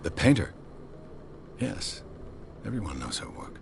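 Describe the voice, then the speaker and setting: This is a worn-out, hard-drinking private eye in a game, with a very deep, low voice. He answers in short, dry, cynical lines over a faint background hum. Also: very animated, measured pace, creaky, full character voice. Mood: wary. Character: weary, hard-drinking male private detective. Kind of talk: game voice acting.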